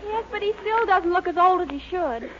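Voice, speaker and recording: Very high-pitched, fretful, bossy girl. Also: lively intonation, a little fast, a bit bright. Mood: fretful, scolding. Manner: scolding, exasperated. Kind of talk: 1940s radio sitcom acting. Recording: noisy, muffled 1940s radio with audience.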